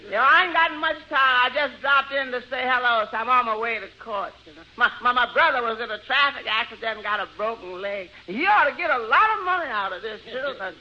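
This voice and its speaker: An actor playing a breezy, shifty lawyer in a radio sitcom, chattering along and repeating himself. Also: male voice, extremely high pitch, animated, fairly quick, few pauses. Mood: breezy, chatty. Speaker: comic supporting actor. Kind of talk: 1940s radio sitcom acting. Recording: muffled old radio recording.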